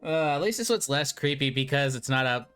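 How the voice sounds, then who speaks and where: A host with a medium-pitched voice moves a casual fan discussion along. Faint music plays behind the voice. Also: expressive, fairly quick, a bit bright, smooth.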